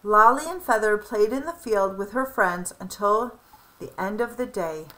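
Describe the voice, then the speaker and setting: A woman reading a children's picture book aloud in an expressive, emphatic and deliberate way. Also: medium pitch, low-quality recording. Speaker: storybook reader.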